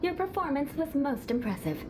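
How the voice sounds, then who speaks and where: A woman's voice: high voice, big pitch swings, normal speed, noisy background. A woman playing a haughty young noble knight in a video game, formal and imperious, caring beneath dry threats. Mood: composed. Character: haughty young aristocratic female knight, vengeful yet secretly caring.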